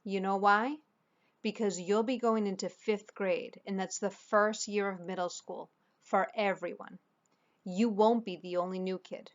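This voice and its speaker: A woman voicing a gentle mother in a storybook, reassuring and persuasive as she coaxes her child. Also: mid-range voice, fairly even intonation, a little slow, dull audio, lightly acted. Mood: encouraging. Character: gentle, encouraging, coaxing mother.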